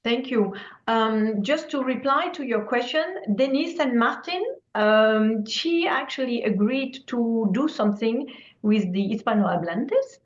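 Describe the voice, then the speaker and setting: A woman's voice: fairly high pitch, expressive, unhurried. Lady running a video call, lots of ums, passing the floor around, muffled echoey sound. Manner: hesitant, filler-heavy, handing over the floor. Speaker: meeting moderator. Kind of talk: moderating an online meeting. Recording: echoey, dull lo-fi audio.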